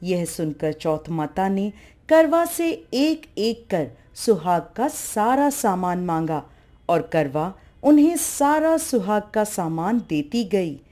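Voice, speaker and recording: A woman's voice: mid-range voice, very animated, takes it slowly, mellow. A woman narrating a devotional folk tale as a storyteller.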